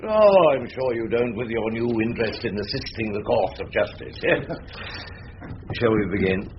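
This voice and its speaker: A man's voice: mid-range voice, animated, slight background noise, lightly acted. Man playing wily old-school defence barrister, pompous and sarcastic, voice swooping about, dull radio recording. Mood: sardonic, needling. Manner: pompous, sarcastic, deliberate cross-examining. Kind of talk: radio courtroom drama acting.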